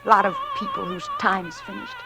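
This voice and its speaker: An actress playing a cool, coaxing, scheming young girlfriend in an old radio thriller, softly persuasive and calculating. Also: medium pitch, huge pitch swings, a little fast. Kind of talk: 1940s-50s radio thriller acting. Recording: vintage radio drama, music behind.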